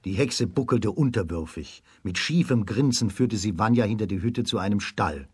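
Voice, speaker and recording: A man with a husky voice narrating a children's radio fairy tale in a dramatic storytelling manner. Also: on the low side, expressive, normal speed, no room sound, studio quality.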